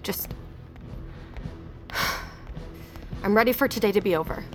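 An actress plays a weary, anxious woman in a naturalistic audio drama. Her mid-pitched voice is serious and pleading. Faint music sits underneath. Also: slow, close to an everyday voice.

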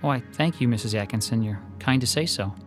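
A man's voice: mid-range voice, fast talker, soft background music, natural voice. An actor playing a polite, slightly hesitant male sketch artist in a radio drama.

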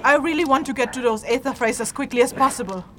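A woman playing a tough, practical, impatient leader of a fantasy trek in an audio drama, speaking in a brisk, bossy, dry manner. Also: high-pitched, noisy background, close to an everyday voice.

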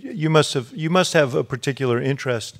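A man's voice: on the high side, brisk. A man hosting a live onstage interview, asking long questions with many fillers and restarts. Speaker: interviewer, podcast host. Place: university event stage with audience.

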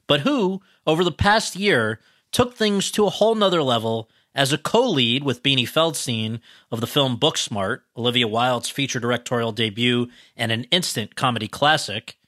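A man's voice: medium pitch, normal speed, fairly bright tone, dry recording, studio quality. A man hosting an awards podcast, reading a formal introduction and then asking interview questions. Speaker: podcast host.

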